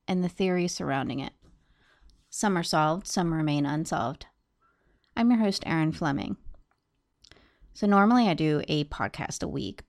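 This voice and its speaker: A woman's voice: low-pitched, darkish tone, dry recording, studio quality. A woman hosting a true crime podcast, talking to listeners and narrating. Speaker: podcast host.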